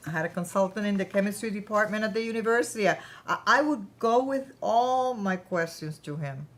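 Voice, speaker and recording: A woman's voice: mid-range voice, brisk, close to an everyday voice. A woman playing a proud, ambitious, chatty founder of her own company in a staged play reading. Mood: enthusiastic, candid.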